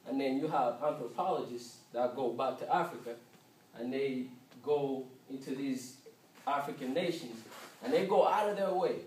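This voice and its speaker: A man from Uganda with a Ugandan accent giving an informal class presentation, repeating words haltingly as he goes. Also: medium pitch, darkish tone. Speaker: student presenter. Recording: noisy, echoey room, distant mic.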